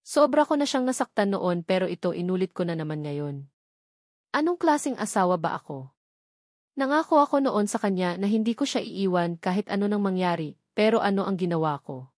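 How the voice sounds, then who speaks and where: A woman's voice: medium pitch, expressive, brisk, a little mellow, no room sound, clean studio sound. A woman reading first-person scripted narration from a romance novel. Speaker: audiobook narrator.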